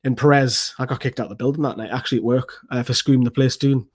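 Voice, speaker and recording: A man, hoarse from losing his voice, giving an excitable, rambling post-match football analysis as a fan channel host. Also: medium pitch, quick pace. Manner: excitable, swears freely, rambling restarts.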